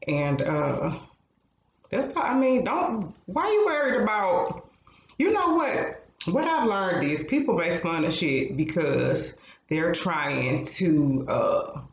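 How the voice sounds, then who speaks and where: A man's voice: fairly high pitch, expressive, slow, creaky. A man co-hosting a casual podcast, giving frank, blunt advice and swearing freely. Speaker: podcast co-host. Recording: roomy, slightly dull sound with faint noise.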